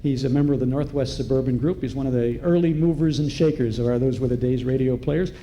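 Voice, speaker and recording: A man's voice: medium pitch, quick pace, dark tone, poor audio. A man speaking as master of ceremonies, introducing performers to a live audience in a large ballroom. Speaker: event host and master of ceremonies. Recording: live ballroom recording with background noise.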